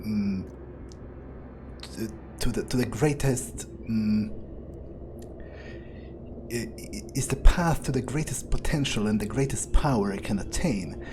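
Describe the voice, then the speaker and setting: Slow, arrogant vampire played by a man. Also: fairly low pitch, noisy background. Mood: arrogant, threatening. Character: arrogant power-hungry male vampire musing on his ambitions. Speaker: gameplay video creator role-playing in character. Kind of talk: improvised in-character monologue. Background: music.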